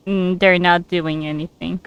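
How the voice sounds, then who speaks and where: Slow, offhand woman gamer. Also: on the low side, steady tone, highs cut off, a little hiss or hum. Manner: offhand, reacting in short remarks. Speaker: video game streamer. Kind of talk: casual playthrough commentary.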